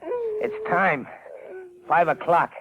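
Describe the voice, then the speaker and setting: An actor playing an obsessed, desperate young war veteran turned sniper in an old radio melodrama, agitated and repetitive. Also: male voice, high voice, wildly swooping pitch, very slow, heavily acted. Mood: frenzied. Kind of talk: 1940s radio melodrama acting. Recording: muffled, noisy old radio broadcast.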